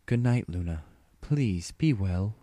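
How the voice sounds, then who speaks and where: A man voicing a gentle, caring older sister, a royal mare in a storybook reading, soft and reassuring. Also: on the low side, animated, slow pace, no room sound. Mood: gentle concern.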